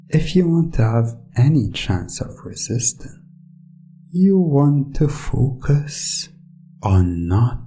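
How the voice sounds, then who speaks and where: Very slow, low, creaky hypnotist voice. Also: warm tone. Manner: slow, commanding, coaxing delivery with deliberate pauses. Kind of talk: hypnosis ASMR.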